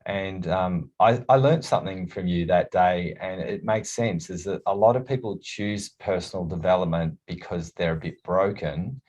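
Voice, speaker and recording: A man hosting a podcast, asking loose, halting questions full of fillers. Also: sits low, a little mellow. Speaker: podcast host. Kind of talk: casual interview chat.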